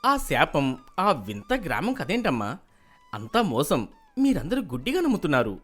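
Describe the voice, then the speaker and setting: A man voicing an earnest, city-educated young man in an animated moral story, confident and questioning. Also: on the high side, moderate pace, soft background music, exaggerated voice. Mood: earnest, skeptical.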